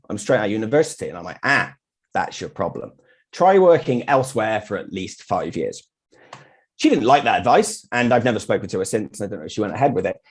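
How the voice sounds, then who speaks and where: A man's voice: mid-range voice, darkish tone, slightly rough. A middle-aged British man giving an informal presentation from his own experience as a designer, in a candid, blunt way. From United Kingdom. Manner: candid, blunt, mildly sweary. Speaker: freelance graphic designer giving a meetup talk. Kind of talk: informal presentation with personal anecdotes.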